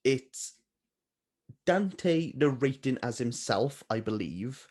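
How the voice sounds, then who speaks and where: Male co-host for a book vlog, middle pitch, unscripted and conversational, teasing with dry playfulness. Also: lively intonation, takes it slowly, darkish tone. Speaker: book vlogger and readalong co-host. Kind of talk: casual unscripted book chat.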